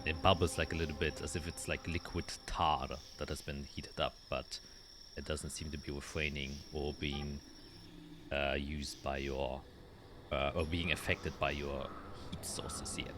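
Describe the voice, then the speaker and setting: A man narrating a tabletop roleplaying game as game master, descriptive and halting as he thinks aloud. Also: a bass voice, little pitch movement, background noise.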